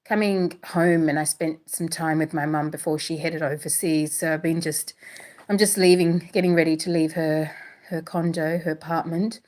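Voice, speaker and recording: A woman raised in Australia with a British accent, talking casually and haltingly on a livestream from an apartment, full of pauses and fillers. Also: deep voice, steady tone, a little mellow. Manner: hesitant, many pauses and fillers. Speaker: livestream host. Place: indoors in an apartment.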